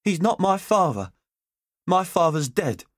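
A man voicing an unhappy, stubborn schoolboy with guarded, quietly defiant replies in an audiobook story. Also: high-pitched, sing-song, unhurried, no echo, studio quality, voice pushed up, heavily acted.

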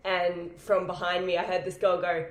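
Woman, loud, chatty, laughs a lot, lowish voice, echoey room. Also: completely flat delivery, normal speed. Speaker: podcast host. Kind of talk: casual podcast chat.

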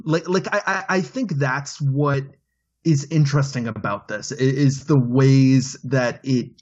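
A man on a film podcast, thinking aloud with many halting restarts. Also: mid-range voice, steady tone, a little mellow, slightly rough, dull audio. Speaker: podcast panelist. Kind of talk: casual film discussion.

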